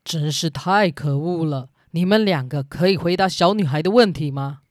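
A woman voicing a fairy-tale king with a formal, commanding, measured manner. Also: sits low, a little mellow, no echo, studio quality, pitched below the performer's own voice, lightly acted. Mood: angry, commanding. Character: stern but grateful old-tale king, male.

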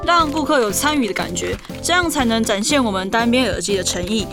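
Woman acting a high-voiced, affectionate young office woman. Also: lively intonation, fairly quick. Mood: businesslike. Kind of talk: audio drama acting. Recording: music under the voice.

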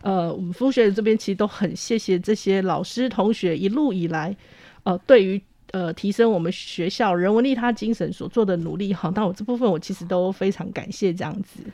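A woman speaks in Taiwanese Mandarin with a mid-pitched voice and very little room sound. She talks as an administrator in an interview, deliberate and appreciative, softening phrase endings with particles. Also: no echo. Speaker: university administrator. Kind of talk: spoken interview remarks.

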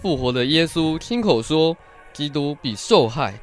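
A man's voice: a little high, lively intonation, measured pace, faint music behind. An actor playing an eager, friendly village man and disciple in a theatrical radio Bible drama. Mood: eager, insistent.

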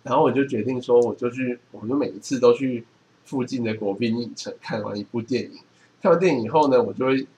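A man's voice: mid-range voice, fast talker, darkish tone. A man with a Taiwanese Mandarin accent speaking casually and colloquially as a podcast co-host. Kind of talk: casual chat, reading book passages aloud. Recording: faint background noise.

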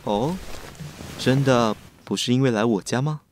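A man's voice: medium pitch, measured pace, slight background noise, lightly acted. A man playing a cheerful, persistent teenage schoolboy with a crush in a radio drama, speaking with a Taiwanese accent in a playful, earnest way. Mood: playful, inviting. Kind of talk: Mandarin boys' love radio drama acting.

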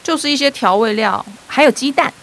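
An actress playing an eager, sweet young daughter and little sister in a radio drama, with a Taiwanese accent. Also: female voice, sits high, fairly quick, a bit bright, slight background noise. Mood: helpful.

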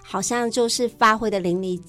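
A woman's voice: on the high side, fairly even intonation, moderate pace, a bit bright. A woman with a Taiwanese Mandarin accent, explaining things conversationally as a teacher guest in a radio interview.

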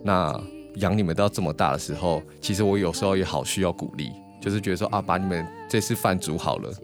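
Fast, deep-voiced Taiwanese man. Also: music in the background. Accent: Taiwanese Mandarin. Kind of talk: casual personal storytelling.

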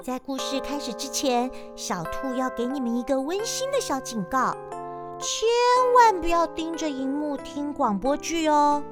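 A woman's voice: high-pitched, lively intonation, a little slow, music in the background. A woman presenter giving a playful scripted introduction to an audio drama in a cute, girlish anime style. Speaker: show presenter.

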